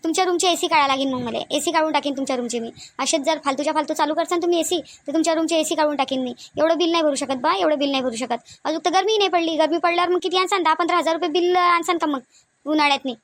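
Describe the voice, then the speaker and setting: A woman voicing a domineering, thrifty, quick-tempered cartoon mother-in-law with a Varhadi Marathi accent, nagging in repeated rhetorical questions. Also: high voice, lightly acted. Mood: scolding, sarcastic. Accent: Varhadi Marathi (Vidarbha). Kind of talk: comedic cartoon dialogue.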